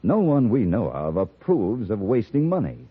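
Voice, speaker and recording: A man's voice: lowish voice, huge pitch swings, normal speed, breathy edge, faint music behind. A man with an American accent reading a scripted public service announcement in a 1950s radio style. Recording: tinny narrowband vintage radio recording.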